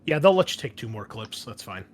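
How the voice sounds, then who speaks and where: A man's voice: on the low side, sing-song, fairly quick, noisy background. A man explaining tabletop game rules casually as game master, thinking aloud as he goes. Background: background music.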